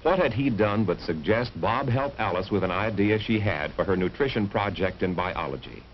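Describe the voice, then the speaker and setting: A man's voice: lowish voice, expressive, moderate pace. A man narrating a vintage 1950s educational film in a scripted, dramatic storytelling manner with rhetorical emphasis. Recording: muffled, hissy old film soundtrack.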